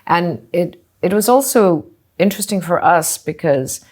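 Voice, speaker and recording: Low-voiced woman from Singapore, musing. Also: expressive, normal speed, a little mellow. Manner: thoughtful, with frequent "you know" and restarts. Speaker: hotel group co-founder and CEO. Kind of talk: conversational interview answers.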